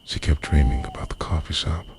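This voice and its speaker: A man narrates a scripted romantic ASMR roleplay in a hushed, breathy, confessional voice that often slips into a whisper. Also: a bass voice, fairly even intonation, a little fast, noisy background.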